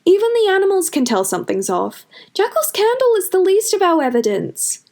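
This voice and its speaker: A woman's voice: extremely high pitch, sing-song, fairly quick, darkish tone, voice pushed up, full character voice. A woman voicing an earnest, insistent young village woman in a storybook narration. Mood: urgent. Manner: urgent, insistent.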